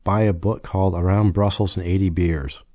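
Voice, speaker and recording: Very deep, dark-voiced male guest. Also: moderate pace, no echo. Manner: dry, deadpan humor. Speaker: guest travel expert. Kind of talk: radio interview answers.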